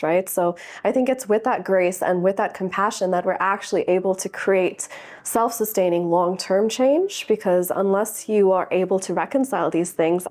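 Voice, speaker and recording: A woman's voice: medium pitch, a little mellow. A woman in her early thirties answering interview questions in a thoughtful, earnest way, often using filler words. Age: early 30s. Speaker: interview guest speaker. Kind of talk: reflective conversational answers.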